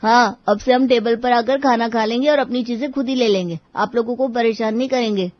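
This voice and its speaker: A woman's voice: on the high side, brisk, dry recording, lo-fi sound, close to an everyday voice. A woman voicing grumbling young males of a family in a cartoon story, with a complaining manner. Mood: apologetic.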